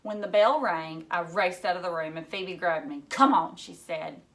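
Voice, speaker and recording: A woman teacher reading a story aloud to children in an expressive way, emphasizing key words. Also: on the low side, moderate pace.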